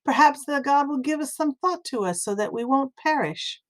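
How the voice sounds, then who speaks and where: A woman's voice: high voice, animated, normal speed, pitched above the performer's own voice. A woman voicing a stern, alarmed sailor in a storybook reading, urgent and questioning. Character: stern, alarmed male ship's officer and frightened sailors.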